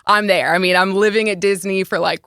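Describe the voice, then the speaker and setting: A young woman with a naturally low, smooth, resonant voice talks about her career as a sports reporter. She chats in an upbeat, casual way, full of filler words. The sound is close and dry, with no room echo. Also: fairly even intonation, brisk, a bit bright. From Florida. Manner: chatty, upbeat, lots of "like" and "you know". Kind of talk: casual interview answers.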